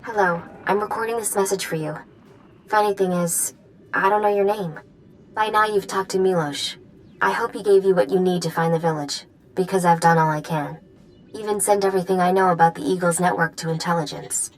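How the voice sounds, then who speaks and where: A woman's voice: on the low side, fairly even intonation, brisk, slight background noise, natural voice, poor audio. A woman playing a wry, wary underground informant in a video game, dry and matter-of-fact. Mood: resigned, wary. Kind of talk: video game dialogue acting.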